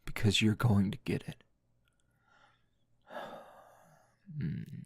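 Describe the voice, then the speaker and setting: A man playing a weary, teasing male teacher hero in an intimate ASMR roleplay, with a low gravelly voice and lingering pauses. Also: no room sound, clean studio sound, heavily acted. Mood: teasing, seductive. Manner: slow, breathy murmuring with sighs and long pauses.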